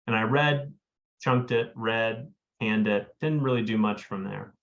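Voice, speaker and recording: A man's voice: fairly low pitch, a little slow, a bit bright. A man from Florida, a webinar panelist, talking casually in a rambling way full of fillers and restarts over a video call. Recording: dull lo-fi audio.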